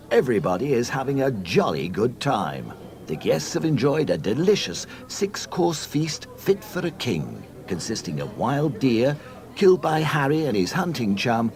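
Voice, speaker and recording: A man narrating a scripted read-along storybook in a mock-solemn, deadpan comic manner. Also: mid-range voice.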